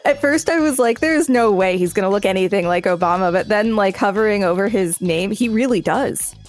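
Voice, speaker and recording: A woman with a mid-range voice co-hosts a podcast. She moves between reading aloud and casual chat. Soft music sits in the background. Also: medium pitch, lively intonation, fairly quick. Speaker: podcast co-host.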